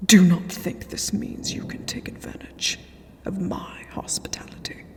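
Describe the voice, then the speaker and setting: A woman's voice: lowish voice, a little mellow. A woman playing a cold, stern vampire queen in a fantasy ASMR roleplay, regal and commanding. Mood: cold, menacing. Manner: slow, commanding, breathy whispered delivery. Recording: long hall reverb, music underneath.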